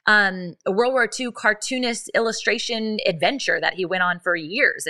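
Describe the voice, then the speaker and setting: Bright-voiced woman podcast host. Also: mid-range voice. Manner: earnest, animated storytelling with many restarts. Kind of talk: faith podcast monologue. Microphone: podcast microphone, live stream.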